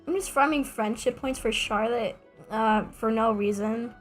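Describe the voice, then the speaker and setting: A woman's voice: fairly high pitch, unhurried, soft background music. A young adult woman streaming a game, chatting casually in a rambling, self-mocking way with joking asides. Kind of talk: casual gameplay streaming chat.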